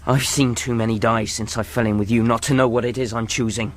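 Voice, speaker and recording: An actor playing a brave young adventure hero in a radio drama, speaking in an earnest, resolute way. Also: male voice, mid-range voice, level tone, brisk, natural voice. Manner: earnest, clearly spoken, resolute.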